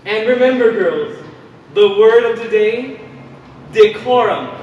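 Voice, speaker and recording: A man acting on stage as a prim, condescending authority figure who speaks deliberately. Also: sits high, animated, a little hiss or hum. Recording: echoey theater hall, far mic, dull sound.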